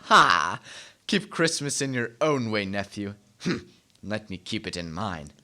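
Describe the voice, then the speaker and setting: A man's voice: on the low side, expressive, a little slow, bright, forceful tone, heavily acted. A man playing a grumpy, miserly old man in a radio drama, curt and contemptuous. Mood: irritated, mocking.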